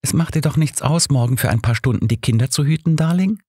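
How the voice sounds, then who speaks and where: A man voicing a lively, outspoken younger woman in an audiobook, with an animated, friendly manner. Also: a little high, quick pace, husky, no room sound, studio quality, pitched above the performer's own voice. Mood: coquettish. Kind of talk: audiobook character voice.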